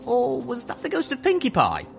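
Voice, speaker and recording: A giddy young pony mare, all squeaky and bubbly, as a male narrator puts her on. She chatters in a teasing, scatterbrained way with high, bouncing pitch. Music sits clearly behind the voice. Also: wildly swooping pitch, moderate pace, full character voice. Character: giddy, random young female pony cultist.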